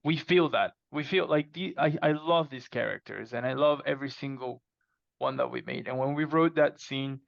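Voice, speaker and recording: A man's voice: mid-range voice, moderate pace, creaky, dry recording. A man chatting casually as a guest author on a podcast, on slightly lo-fi audio. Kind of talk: casual interview chat.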